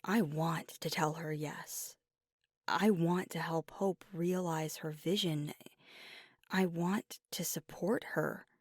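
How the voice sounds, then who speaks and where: Low, close-up, earnest werewolf girlfriend, acted by a woman. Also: fairly even intonation, takes it slowly, dry recording. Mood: earnest, hesitant. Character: devoted, earnest young werewolf girlfriend weighing big news. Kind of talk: intimate ASMR roleplay, audio drama.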